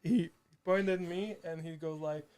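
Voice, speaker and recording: Slovak man, halting English, smooth voice. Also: on the high side, fairly even intonation, a little mellow. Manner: halting, hesitant English with frequent restarts and laughter. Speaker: streamer and video editor guest. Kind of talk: casual chat. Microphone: too far from the mic.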